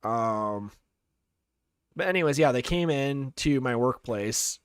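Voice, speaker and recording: A man's voice: medium pitch, animated, slow pace, smooth, dry recording, studio quality. A young Canadian man chatting casually on a live game stream, rambling through stories with lots of fillers and reading chat messages aloud. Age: young adult.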